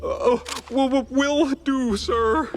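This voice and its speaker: A man voicing a timid, jittery cartoon high-wire performer, polite and halting, with a nervous stammer. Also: faint music behind, exaggerated voice. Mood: anxious stammering. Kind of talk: cartoon game voice acting.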